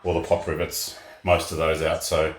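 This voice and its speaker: A man's voice: rumbling low, monotone, normal speed. A man chatting casually for a vlog, plain-spoken and brief, as he shows hands-on work. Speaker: vlog co-host showing renovation work. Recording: roomy echo with faint music behind. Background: music from a radio.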